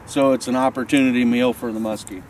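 A man's voice: medium pitch, steady tone, quick pace, darkish tone. A man in his thirties giving a casual, practical fishing lesson outdoors, explaining while he handles his gear. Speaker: fishing instructor. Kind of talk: casual outdoor instructional demo. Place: outdoors on a boat and a snowy riverbank. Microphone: camera mic from a few feet away. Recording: distant camera mic, faint outdoor noise.

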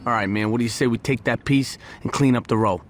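A man playing a wary, grumbling street gang lieutenant in a video game, casual and gruff with an American accent. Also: medium pitch, fast talker, close to an everyday voice. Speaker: gang member character. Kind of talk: video game dialogue acting. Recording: loud engine and street noise.

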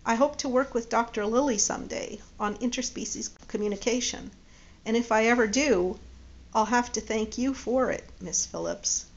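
A woman's voice: on the high side, moderate pace, lightly acted. Woman reading an eager, enthusiastic young man. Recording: dull lo-fi audio.

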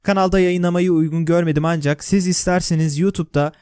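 A man's voice: a little high, fairly even intonation, quick pace, darkish tone, clean tone, no echo, clean studio sound. A man with a Turkish accent reading scripted explainer narration for a video.

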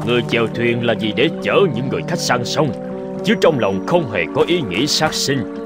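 An actor playing a calm old male hermit sage who chides in a measured, knowing way. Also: medium pitch, over loud music, close to an everyday voice. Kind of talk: Vietnamese cartoon dubbing.

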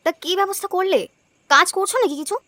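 A woman playing a practical, caring young village wife in a cartoon, chatting in a brisk, homely way. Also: very high voice, sharp tone. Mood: concerned, homely. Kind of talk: cartoon voice acting.

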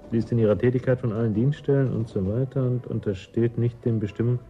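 A man's voice: mid-range voice, fairly even intonation, fast, dark tone, natural voice. An actor playing a suspicious man in a radio play, speaking in a dry, brusque, sardonic way. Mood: probing. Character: sardonic, suspicious man inspecting a stranger's papers. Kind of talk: German radio play acting. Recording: dull band-limited radio recording. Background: faint music.

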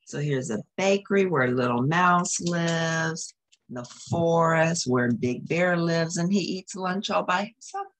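A woman's voice: lowish voice, lively intonation, unhurried. A woman reading a picture book aloud as a storyteller, expressively, with explanatory asides to young listeners.

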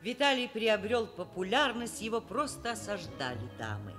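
Older female professor played by an actress, thoughtful narrator of a vintage radio play, lively melody in speech, faint music. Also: medium pitch, moderate pace, lightly acted. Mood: reflective. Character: reflective older woman professor, kindly and candid. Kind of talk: Soviet radio drama narration.

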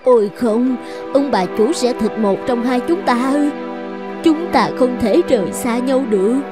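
A woman voicing an anxious, devoted female goose in an animated fairy tale, pleading and emotional. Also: a little high, unhurried, close to an everyday voice. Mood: distressed. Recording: background music under the voice.

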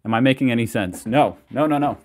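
A man's voice: mid-range voice, quick pace. Chatty American guy reacting at his desk. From United States. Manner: chatty, self-questioning asides. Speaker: reaction video host. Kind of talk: casual rambling commentary. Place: small room indoors.

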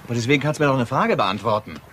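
Man playing a brisk, irritable police detective. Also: mid-range voice, animated, fairly bright tone, lightly acted. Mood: annoyed. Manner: brusque, colloquial. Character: blunt, irritable male police detective, loyal but suspicious. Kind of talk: radio crime drama acting. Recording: clear background noise.

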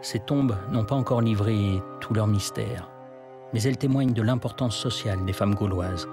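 A man narrating a historical documentary from a script, in a measured storytelling manner. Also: fairly low pitch. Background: music.

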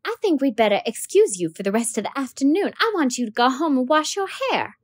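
A woman's voice: big pitch swings, fairly quick. A woman reading aloud, voicing a flustered young schoolteacher who stammers nervously. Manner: nervous, stammering, high and breathless.

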